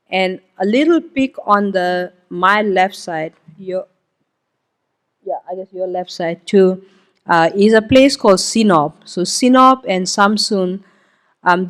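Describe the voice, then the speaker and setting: A woman whose mother tongue is Hindi gives a missionary testimony at a church service. Her talk is loose and conversational, with plenty of "um"s and "uh"s. She stops now and then to point things out on a map for the congregation. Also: fairly low pitch. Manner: informal, explains while pointing at a map, frequent "um" and "uh". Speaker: missionary guest speaker.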